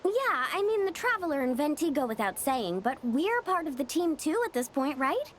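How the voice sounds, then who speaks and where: A woman voicing a tiny, excitable fairy companion girl in a video game, squeaky and childlike. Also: normal speed, a little hiss or hum. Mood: cheeky. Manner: refers to herself by name, exclamatory. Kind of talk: video game character acting.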